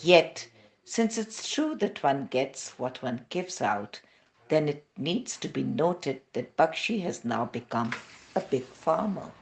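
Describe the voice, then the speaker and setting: A woman with an Indian accent narrates a devotional story from a script. Her voice sits quite low for a woman, yet it rises and falls in a very animated way. The phrasing is measured and deliberate. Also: gravelly. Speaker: reader of devotional testimonies.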